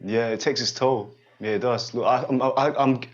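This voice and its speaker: A man in his forties talks a student through a guidance session at a middle pitch, in halting, repetitive sentences. He keeps stopping to check that the listener follows. The recording sounds dull and lo-fi. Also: fairly even intonation. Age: 40s. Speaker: holistic therapist guiding a student. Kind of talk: unscripted one-on-one guidance session.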